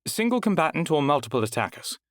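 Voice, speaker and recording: A man voicing a polished female fight registrar with a smooth accent, asking brisk formal questions. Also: fairly high pitch, sing-song, dry recording, clean studio sound, voice pushed up.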